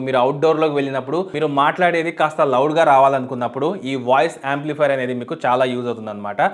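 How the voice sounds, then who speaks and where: A man explaining a product step by step as a tech reviewer, in an unboxing video style. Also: fairly high pitch. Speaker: YouTube tech reviewer and host.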